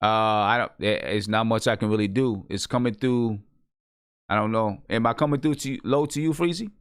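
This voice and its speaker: A man's voice: on the low side, moderate pace, clean tone, no echo, studio quality. A man hosting a casual live music review chat, talking informally. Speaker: host of a music review show.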